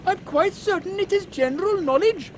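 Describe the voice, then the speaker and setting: Actress as dutiful airship crew member, very high voice, formal, literal-minded, precise announcements, audio drama, faint noise. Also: female voice, normal speed, darkish tone. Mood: matter-of-fact. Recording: faint outdoor vehicle noise.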